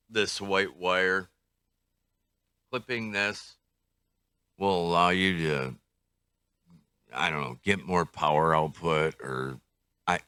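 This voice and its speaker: An older man talks through a casual hands-on review in a low-pitched voice with a bright edge. He takes his time, speaking slowly and tentatively as he works things out aloud. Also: smooth, no echo. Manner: unhurried, tentative, thinking aloud. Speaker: radio reviewer.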